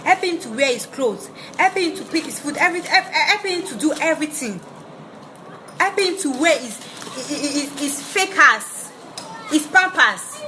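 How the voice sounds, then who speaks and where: A woman partly of Nigerian background, not a native English speaker, ranting bluntly to camera in halting English with many restarts. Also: high-pitched, animated, pushed and bright, a little hiss or hum. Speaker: vlogger. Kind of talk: direct-to-camera rant. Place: Ivory Coast.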